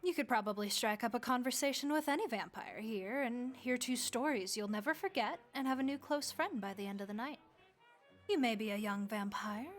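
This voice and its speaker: A woman voicing a friendly, motherly vampire innkeeper who speaks gently and reassuringly to the listener in an audio roleplay. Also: on the high side, lightly acted. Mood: earnest, comforting.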